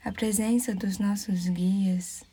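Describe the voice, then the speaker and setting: A Brazilian woman leading a guided meditation in a soft, breathy near-whisper, calm and soothing, leaving measured pauses. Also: medium pitch.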